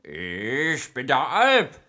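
A man playing a nightmare demon being interviewed in a children's radio play, theatrical and drawn-out in delivery. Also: medium pitch, huge pitch swings, bright, forceful tone, exaggerated voice. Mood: self-assured. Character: male nightmare demon as talk-show guest, slow and eerie. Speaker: interview guest.

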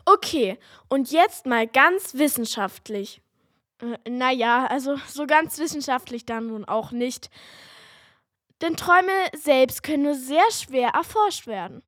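Woman as young radio host, very high voice, eager, easily embarrassed, children's radio play. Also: animated, a little slow, a bit bright, faint music behind, full character voice. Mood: earnest.

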